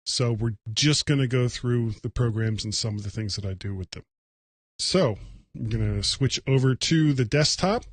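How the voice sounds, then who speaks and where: A man hosting a casual solo tech livecast, talking in a rambling, halting, self-deprecating way. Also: mid-range voice, no room sound.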